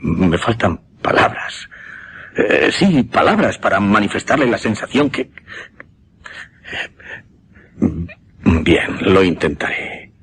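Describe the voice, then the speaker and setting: An actor with an accent from Spain playing a shaken, courteous gentleman who hesitantly recounts a supernatural horror in a radio drama. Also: fairly low pitch, very animated, unhurried, breathy edge, heavily acted. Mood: grave, hesitant.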